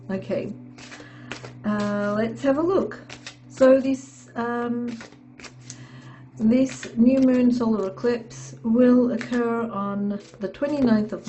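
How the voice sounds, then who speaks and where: A woman talks through a tarot spread in a slow, warm, hoarse voice. Her throat is sore, and she stops often, leaning on "okay" and "um" as she goes. Soft music plays faintly behind her. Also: fairly high pitch. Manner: halting, many fillers and "okay"s. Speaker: tarot reader. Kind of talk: tarot reading monologue.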